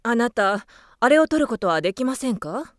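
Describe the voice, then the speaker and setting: A woman's voice: on the high side, sing-song, normal speed, no echo, studio quality. An actress playing a polite, worried mother of a genteel household in a radio drama. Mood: doubtful.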